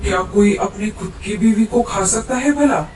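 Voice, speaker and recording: A man's voice: sits high, moderate pace, a little mellow, natural voice. A man voicing a swaggering, bullying bird-of-prey gang leader in a cartoon, taunting in rustic dialect. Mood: indignant, cocky. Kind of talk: cartoon voice acting. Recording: roomy echo, distant, faint noise.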